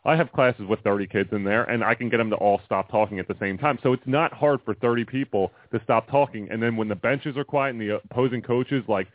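A young man with a booming, bassy voice, co-hosting a casual sports radio chat and rambling through his points with many restarts. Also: a little fast, hardly any pauses, no room sound. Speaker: radio co-host and girls basketball coach. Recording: dry phone-line radio sound.

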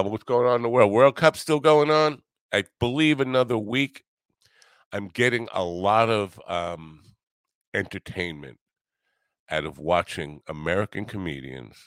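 A man in his early sixties with a deep voice, recorded dry with no room around it. He hosts a casual solo podcast, rambling from thought to thought in a halting way. He is self-deprecating and drops in the odd curse. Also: fairly bright tone, dry recording. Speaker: podcast host.

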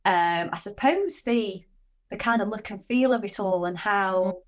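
A woman hosts a podcast, warmly affirming her guest with short responses. When she talks at length she muses aloud, circling her ideas with restarts before she lands on a question. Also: mid-range voice, a little slow. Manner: encouraging, affirming, musing aloud. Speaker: podcast host. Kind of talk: conversational podcast interview.

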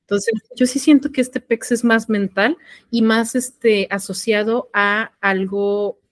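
A woman's voice: a little high, steady tone, takes it slowly, dry recording. A young woman with a Mexican accent chatting casually as a podcast co-host, hesitant and full of fillers and slang. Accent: Mexican Spanish. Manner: slangy, hesitant, thinks aloud with many fillers. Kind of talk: casual chat.

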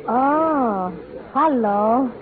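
High-voiced, flirtatious señorita. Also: female voice, lively intonation, very slow. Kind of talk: 1930s radio acting. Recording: tinny 1930s radio broadcast.

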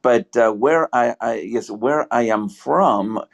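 A man from Argentina speaks English with a clear Argentine Spanish accent and a polite, unhurried manner. His sentences stop and restart, full of "uh" and "I mean", as he gathers his words. He sounds like a podcast guest on a video call. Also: medium pitch. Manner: halting, many fillers and restarts, polite. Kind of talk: casual conversation.

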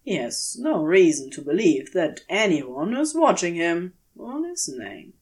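Slow, very expressive woman storyteller. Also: on the low side. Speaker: audiobook-style fan fiction reader. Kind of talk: expressive scripted story narration.

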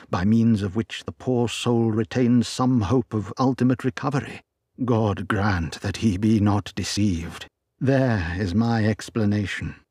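A man voicing a grave, deliberate middle-aged male lawyer in a storybook reading. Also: on the low side, darkish tone, husky, no echo, clean studio sound. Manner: measured, deliberate.

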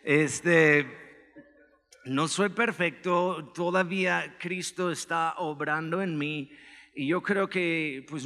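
A man with an American accent, a pastor giving a relaxed, joking sermon with personal testimony and many filler words. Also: fairly high pitch. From United States. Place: church hall. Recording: echoey church hall.

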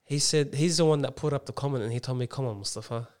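A man telling stories in casual, animated, self-mocking podcast banter. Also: medium pitch, quick pace. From Australia, Lebanese background. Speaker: podcast co-host.

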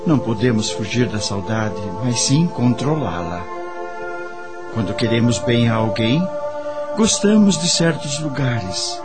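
A man's voice: medium pitch, expressive, unhurried, close to an everyday voice. A man playing a reflective spirit who narrates his memories expressively and wistfully in a radio drama. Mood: wistful reflection. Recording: music under the voice.

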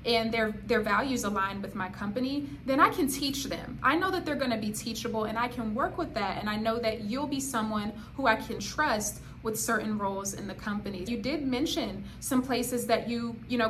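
A woman's voice: medium pitch, a bit bright, a little hiss or hum. A woman hosting an interview, who affirms her guest's points and asks guided questions. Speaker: podcast host.

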